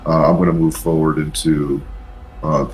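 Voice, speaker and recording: A man playing a tabletop role-playing game, speaking casually in a measured, deliberate way. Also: very deep voice, little pitch movement, darkish tone, a little hiss or hum, rough recording. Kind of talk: casual in-character tabletop play.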